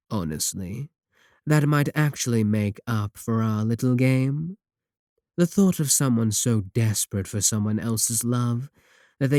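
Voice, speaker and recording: A man playing a sly, sadistic warlock in a roleplay audio drama, smug and possessive, with taunting, deliberate phrasing. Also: mid-range voice, no echo, clean studio sound. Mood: mocking, menacing. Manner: taunting, drawn-out, deliberate phrasing with dark chuckles. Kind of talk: scripted roleplay audio drama, spoken to the listener.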